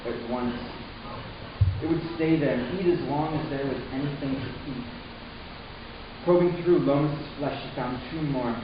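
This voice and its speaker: A man playing a troubled, horrified young man, narrating his ordeal solemnly and deliberately in a dramatic reading. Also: medium pitch, highs cut off, lightly acted. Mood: grim. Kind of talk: scripted first-person narration, dramatic reading. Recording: echoey room from far off, hissy.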